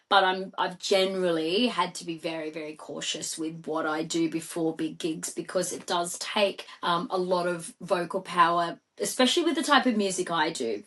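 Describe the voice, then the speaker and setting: A woman's voice: on the low side, fairly bright tone. A middle-aged Australian woman, a singer and DJ, chatting as a podcast guest in a rambling way with many fillers. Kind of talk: casual podcast conversation.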